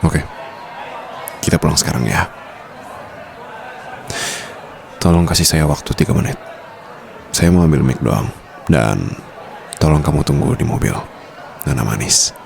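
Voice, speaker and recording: A man with an Indonesian accent acting a smitten, protective mafia boss in an intimate ASMR boyfriend roleplay, murmuring softly and teasingly. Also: very deep voice, normal speed, creaky, long pauses, some chatter behind, full character voice. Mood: calm, affectionate. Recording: faint night-time insect ambience noise.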